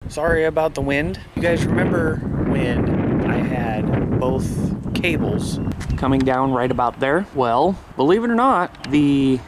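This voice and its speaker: A man's voice: medium pitch, animated, unhurried, heavy background noise. A man talking casually and plainly to viewers as he explains his hobby setup in a homemade vlog. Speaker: hobbyist vlogger showing his CB radio setup.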